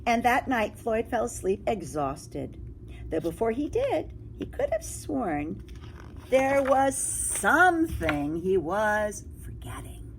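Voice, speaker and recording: Animated woman reading a storybook aloud. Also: on the high side, slow, creaky, a little hiss or hum, rough recording. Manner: expressive, exclaiming, drawing listeners in with questions. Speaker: teacher reading a picture book to children. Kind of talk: read-aloud storytelling with asides and questions to young listeners.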